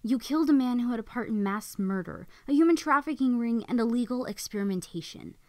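A woman playing a pragmatic, steady female survivor in an animated film. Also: on the high side, brisk, no echo, lightly acted. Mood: challenging.

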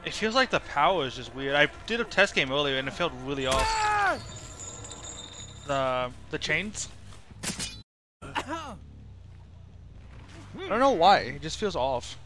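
A man's voice: fairly high pitch, sing-song, measured pace, long pauses. A young man giving casual, off-the-cuff gameplay commentary as a streamer. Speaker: game streamer. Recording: noisy stream with game sounds.

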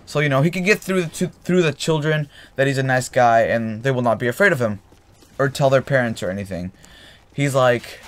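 A man's voice: medium pitch, brisk. A young man with a cold, casually telling a story while rambling with fillers and correcting himself. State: getting a cold, clears throat. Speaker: gaming channel host. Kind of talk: casual storytelling over gameplay. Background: faint game gunfire.

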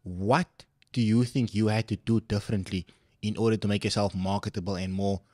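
A man's voice: lowish voice, fairly even intonation, brisk, darkish tone, no room sound, clean studio sound. A man in his twenties hosting a casual podcast interview, upbeat and friendly as he asks his questions. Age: 20s. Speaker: podcast host. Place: small studio set with sofa. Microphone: boom mic on stand.

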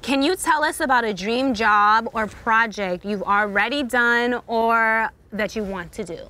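Playful woman host w handheld mic outdoors, laughy, bright tone. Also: a little high, a little slow. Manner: bubbly, playful, laughs often. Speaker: talk show host. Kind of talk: upbeat hosted chat. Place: rooftop terrace outdoors.

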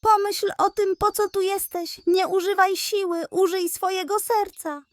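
A woman's voice: extremely high pitch, steady tone, unhurried, voice pushed up. A woman voicing an agile, earnest jester sidekick in a children's storybook. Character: agile card-world jester, urgent and wise sidekick.

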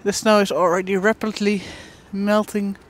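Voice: medium pitch, little pitch movement, normal speed. A vlogger chatting casually while walking outdoors. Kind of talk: casual walking vlog chat. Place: outdoors walking in a snowy theme park. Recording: outdoor park noise.

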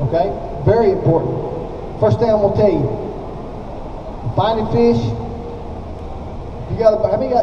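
Older male outdoorsman, folksy and emphatic live presenter, high-pitched, dull distant sound in a big reverberant hall. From Oklahoma, United States. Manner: folksy, emphatic, repeats phrases, checks in with listeners. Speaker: professional angler giving a demonstration. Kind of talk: live fishing seminar to an audience. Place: large indoor exhibition hall. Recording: echoey hall from far off, dull, noisy.

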